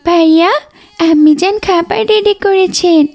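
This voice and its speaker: A woman's voice: very high-pitched, moderate pace, darkish tone. A woman voicing a polite, eager little girl, a dutiful daughter in a children's cartoon, speaking carefully and respectfully. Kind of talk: scripted children's cartoon dubbing.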